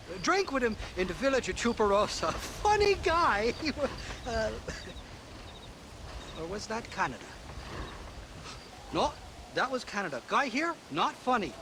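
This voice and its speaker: An actor playing a boastful, cheerful, drunken Irish drifter in a video game, rambling and wheedling with an Irish accent. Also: male voice, high voice, exaggerated voice. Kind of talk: video game cutscene acting. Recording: clear background noise.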